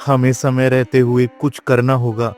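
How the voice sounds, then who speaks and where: A man playing an authoritative village figure in a moral-story cartoon, speaking in a deliberate, declamatory way. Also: medium pitch, little pitch movement, darkish tone, soft background music, lightly acted. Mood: worried. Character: domineering landlord and earnest village headman in a cartoon.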